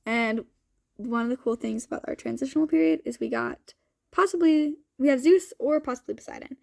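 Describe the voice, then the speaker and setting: Young woman, highish voice, slight creak, stuffed-up with a cold, casual chatty vlog delivery, thinking aloud. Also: sing-song, a little fast, no room sound, studio quality. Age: young adult. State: sniffly from a cold. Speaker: student vlogger.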